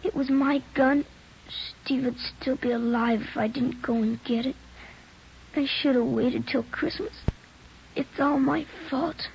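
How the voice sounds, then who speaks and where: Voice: high-pitched, steady tone, unhurried, creaky. An actor in a 1940s radio drama playing a frightened, guilt-ridden nine-year-old boy, pleading and earnest. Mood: guilty, mournful. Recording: dull noisy 1940s radio recording.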